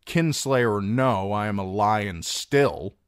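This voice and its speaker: A man's voice: lowish voice, slow, clean tone, dry recording, pitched above the performer's own voice. A male narrator voicing a bitter, sardonic dwarf lord who speaks in a dry, wry, curt way. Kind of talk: audiobook character reading.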